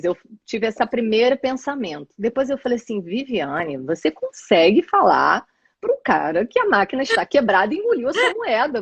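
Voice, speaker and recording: A Brazilian woman hosts a live chat in a husky mid-range voice, with a halting, restarting way of speaking. The sound is dull and dry, close up with no room around it. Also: a little high, no echo. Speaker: host.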